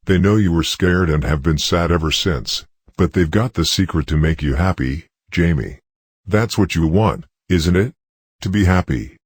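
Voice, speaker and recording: A man's voice: low-pitched, moderate pace, slightly rough, no room sound, studio quality. A man voicing a dead young American girl, chatty and eerie, in a horror story. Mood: eerie, coaxing.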